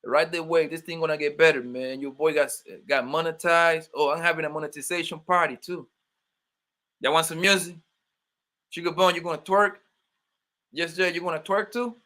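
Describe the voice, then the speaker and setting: New york dude on a livestream, bright voice, joking around w the chat. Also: male voice, on the high side, steady tone, moderate pace. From born in Colombia, raised in Brooklyn, New York. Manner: loose, joking, talks to chat viewers by name. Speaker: livestream host.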